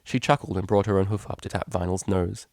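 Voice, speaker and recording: A man's voice: sits low, fairly even intonation, fast talker, darkish tone, no echo, studio quality. A man reading a scripted story aloud as its narrator. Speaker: narrator, fan fiction reader.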